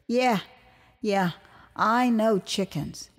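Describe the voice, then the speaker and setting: An American woman narrating her own memoir as an audio drama, expressive and wry, with conversational asides. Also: medium pitch, extremely slow. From United States. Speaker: author and storyteller.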